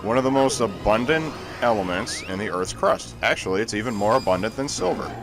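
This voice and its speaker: A man doing casual, joking co-commentary on a video game in a wry, deadpan manner. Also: on the low side, brisk, music in the background. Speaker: let's play co-commentator.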